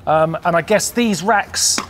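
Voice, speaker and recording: A man's voice: sits high, measured pace, fairly bright tone, slight background noise. A British man hosting a factory tour, joking and teasing as he chats with a guide. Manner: jokey, teasing, laughs often. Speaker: host. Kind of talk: casual factory tour chat. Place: warehouse and outdoors at a factory.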